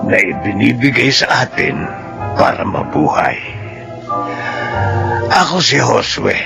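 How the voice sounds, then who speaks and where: A reflective old man in a radio drama, low and gravelly. He speaks slowly and sentimentally about life, his pitch rising and falling, with loud music behind him. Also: big pitch swings, heavily acted. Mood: wistful, accepting. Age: older. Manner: slow, sentimental, philosophical. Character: reflective elderly man nearing seventy, missing late friends. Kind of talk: Filipino radio drama monologue.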